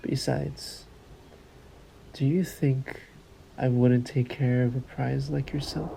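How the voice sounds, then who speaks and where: Man acting a slow, gravelly, sadistic vampire lord. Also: mid-range voice, little pitch movement, warm tone, slight background noise, poor audio. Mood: amused, possessive. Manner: slow, silky menace, formal and condescending endearments. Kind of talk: scripted roleplay audio drama monologue.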